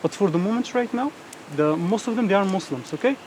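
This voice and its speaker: Man, Moroccan Amazigh accent, high voice, tour guide, keeps saying "exactly" and "okay?", noisy outdoor street. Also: expressive, quick pace, darkish tone. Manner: frequent "like", "exactly" and "okay?" checks. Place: outdoors in a village.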